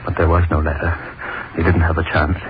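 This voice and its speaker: An actor playing a morbid, gloating executioner in a halting, brooding monologue of old-time radio horror. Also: male voice, sits low, little pitch movement, natural voice. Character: morbid, gloating male state executioner confessing his obsession. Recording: noisy, muffled old radio recording.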